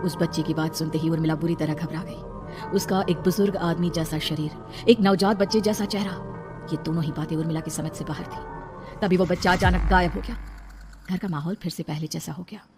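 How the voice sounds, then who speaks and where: Brisk horror story narrator. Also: mid-range voice.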